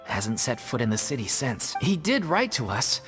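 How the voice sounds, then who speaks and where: A man's voice: medium pitch, big pitch swings, moderate pace, fairly bright tone, in a large hall, faint music behind. An actor playing an earnest young man of a theatre troupe in a video game, thoughtful and explanatory in his delivery. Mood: concerned.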